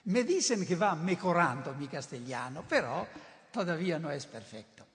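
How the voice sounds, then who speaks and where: A man's voice: fairly high pitch, very animated, normal speed, fairly bright tone. An older man who is not a native Spanish speaker, preaching a sermon in an emphatic way, often repeating and restarting words. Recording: reverberant hall.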